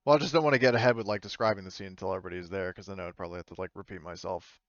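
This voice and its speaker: Halting man, dry and slightly muffled. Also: deep voice, fairly even intonation, no echo. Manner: hesitant, halting, self-correcting. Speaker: game master. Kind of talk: casual narrating of an online tabletop game. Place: online voice call.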